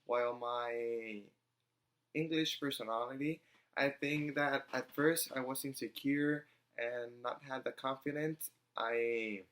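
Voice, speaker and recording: Slow, halting young man. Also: medium pitch, steady tone, a bit bright. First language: Spanish. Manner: halting, searching for words with frequent ums. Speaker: student vlogger. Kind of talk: casual personal vlog.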